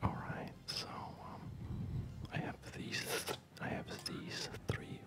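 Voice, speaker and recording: Casting: ASMR gaming host, fully whispered, slow and hesitant, softly rambling delivery. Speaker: host playing a strategy game. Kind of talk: ASMR whispered game commentary.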